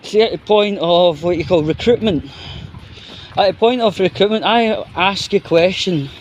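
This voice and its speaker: A Scottish man giving an unscripted opinion monologue as a vlogger, in halting, broken phrases with repetition. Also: sits high, dull audio, a little hiss or hum.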